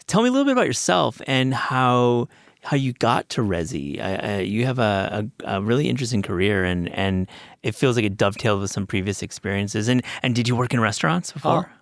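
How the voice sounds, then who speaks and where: A man's voice: mid-range voice, sing-song, fairly quick, gravelly, no echo, studio quality. A man hosting a casual podcast interview, asking questions and often agreeing with short replies. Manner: affirming, lots of short backchannel agreement. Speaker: podcast host.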